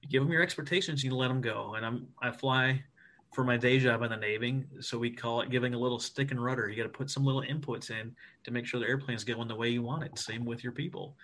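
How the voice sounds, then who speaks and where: A man from the United States, a Navy officer guesting on a podcast, talking in an animated, emphatic and self-deprecating way. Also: mid-range voice, fast. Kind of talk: casual podcast interview.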